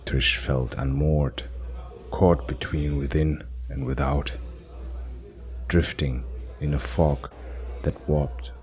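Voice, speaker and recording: Extremely deep, slow male narrator. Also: level tone, darkish tone. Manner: solemn, dramatic, short measured phrases. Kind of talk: cinematic scripted fantasy audio drama narration.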